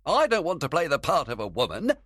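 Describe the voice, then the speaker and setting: A reluctant young amateur actor voiced by a male narrator in a storybook. His voice is raised and animated, with a protesting, grumbling manner. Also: on the high side, normal speed, no room sound, studio quality, voice pushed up, exaggerated voice. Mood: complaining. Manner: complaining, protesting. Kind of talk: audiobook character dialogue.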